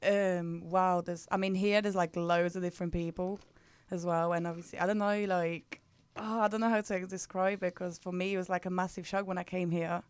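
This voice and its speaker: A woman's voice: lowish voice, little pitch movement, moderate pace, clean tone, no room sound. A young woman from England with a Birmingham accent, Polish by birth, a nervous first-time radio guest. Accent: Birmingham English. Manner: nervous, hesitant. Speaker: guest co-host, aspiring music journalist. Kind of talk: casual radio chat. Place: radio studio.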